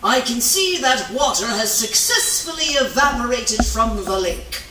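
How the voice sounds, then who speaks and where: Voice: mid-range voice, animated, unhurried, natural voice. A performer voicing a grandiose, theatrical heron scientist in a children's puppet show, pompously declaiming each step. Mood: grand, pompous. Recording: echoey room, distant mic, noisy.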